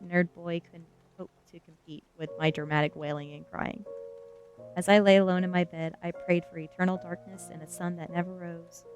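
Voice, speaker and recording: A woman reading a first-person story aloud in an ASMR style, narrating with expressive, dramatic emphasis. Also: on the low side. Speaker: streamer reading a novel aloud.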